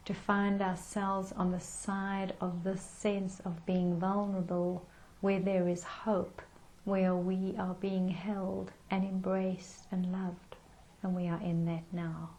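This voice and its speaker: A woman leading a guided meditation in a gentle, soothing manner with unhurried pauses. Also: lowish voice, level tone, low-quality recording.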